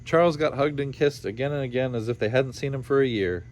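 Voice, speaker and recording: A man reading a storybook aloud with expressive narration. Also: mid-range voice, fast talker, rough recording. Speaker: storybook reader.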